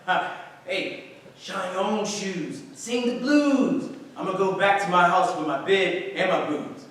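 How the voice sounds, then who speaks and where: A man's voice: sits high, slow, fairly bright tone, a little hiss or hum. A man playing a cocky, jive-talking, boisterous actor in a staged play reading, with a folksy swagger, in an echoey hall. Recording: echoey hall, distant mic.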